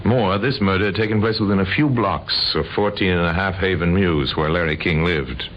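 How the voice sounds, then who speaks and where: A man narrating an old-time radio crime drama in a grave, deliberate, dramatic manner with a sardonic edge. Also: sits low, lightly acted. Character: grave, sardonic male narrator of murder cases. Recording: dull, noisy old radio recording.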